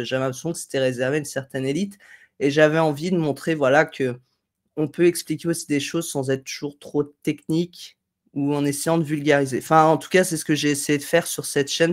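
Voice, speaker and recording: A woman hosting a casual live tutorial from home, reading chat comments in a chatty, digressive way, with a slight head cold. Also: low voice. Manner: chatty, digressive, friendly, thinking aloud with fillers. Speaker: live stream host. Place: home room at a desk, indoors.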